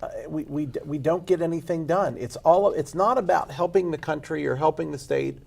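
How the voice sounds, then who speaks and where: A man hosting a local TV panel discussion, moving briskly between topics and panelists. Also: fairly high pitch, creaky, a little mellow, breathy edge. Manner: brisk, chatty moderator. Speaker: panel show host.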